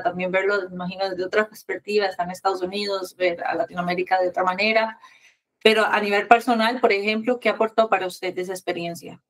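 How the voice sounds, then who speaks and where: A woman's voice: medium pitch, low-quality recording. A woman with a Costa Rican accent hosting a podcast, asking questions in a friendly conversational way. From Costa Rica. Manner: friendly, conversational, with short affirmations. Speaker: podcast host. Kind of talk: casual interview. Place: home in Barcelona.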